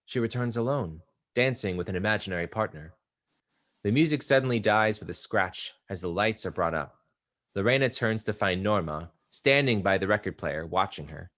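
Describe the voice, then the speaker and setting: A man serves as narrator for a scripted play reading. His voice sits very low as he reads out the stage directions. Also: fairly bright tone.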